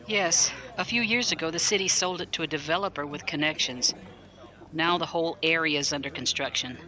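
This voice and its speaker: Casting: formal, brisk lieutenant attorney for sci-fi audio drama, slightly low, crisp forceful edge. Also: female voice. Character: brisk, formal female military lawyer.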